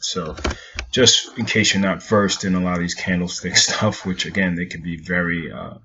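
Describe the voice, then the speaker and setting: A man's voice: deep voice, pushed and bright, dull audio, a little hiss or hum. A man from Jamaica giving a casual stock market recap over charts, rambling and repeating himself.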